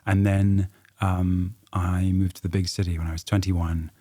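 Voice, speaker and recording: Soft-spoken, dry, hesitant man in his thirties. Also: low voice, flat delivery, moderate pace, no echo. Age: 30s. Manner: hesitant, dry and self-deprecating, with frequent ums. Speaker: comedian guest. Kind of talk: casual podcast chat. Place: studio.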